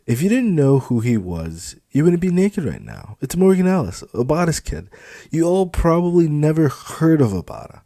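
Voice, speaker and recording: A man's voice: on the high side, big pitch swings, normal speed, dark tone, dry recording, clean studio sound, pitched above the performer's own voice. A man voicing a smug, crude club supervisor in an audiobook, dismissive and impatient with a sneering manner. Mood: exasperated, impatient. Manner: sneering, exasperated, mouthy. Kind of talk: dramatised audiobook dialogue.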